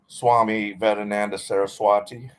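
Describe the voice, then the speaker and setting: Low-voiced male interviewer. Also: level tone, normal speed. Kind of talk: casual interview questions.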